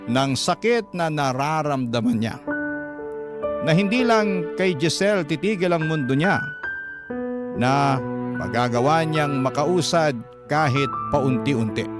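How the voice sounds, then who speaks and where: A man with a Filipino accent narrating as a sorrowful parent, in solemn, measured radio drama storytelling. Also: a little high. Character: sorrowful parent recounting a son's heartbreak. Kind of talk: first-person radio drama letter narration.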